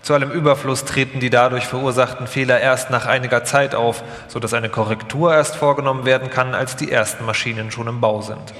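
A man's voice: medium pitch, fairly even intonation, brisk, hardly any pauses, a bit bright. A man reading scripted documentary narration live, in a formal, factual manner. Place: large hall, live stage reading. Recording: echoey hall, long reverb.

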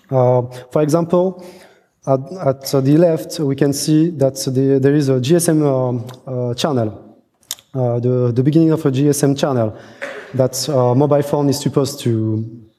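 A very dark, muted male voice rings through a big, reverberant hall. He is a non-native English speaker presenting technical research, and he moves haltingly, doubling back on phrases as he goes. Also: medium pitch. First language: not a native English speaker. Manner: halting, repeats and restarts phrases mid-sentence. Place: large conference hall. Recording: echoey hall, long reverb, muffled.

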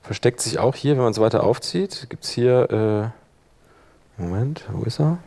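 A man speaking colloquial speech, giving an informal live software demonstration and thinking aloud as he works. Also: medium pitch, animated, a little slow, vocal fry. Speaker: workshop presenter.

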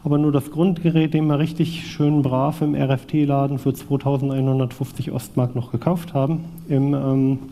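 Man, 40s, from southwest Thuringia, very dark mellow voice, technical conference talk, loose delivery with many fillers. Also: mid-range voice, flat delivery, normal speed, few pauses. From southwest Thuringia, East Germany. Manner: loose and thinking aloud, many fillers and restarts. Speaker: conference speaker presenting a vintage graphics device.

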